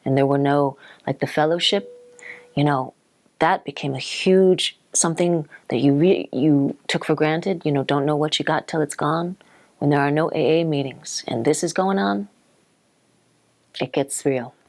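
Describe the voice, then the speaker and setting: A woman's voice: low voice, normal speed, a little mellow, dry recording. A woman speaking candidly in a personal interview, blunt and plain-spoken.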